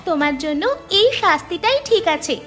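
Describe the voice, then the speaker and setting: A woman's voice: sits high, a little fast, bright, forceful tone. A woman voicing a determined, crafty young village girl in a Bengali cartoon, speaking firmly and insistently. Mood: stern. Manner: firm, insistent. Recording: music behind the voice.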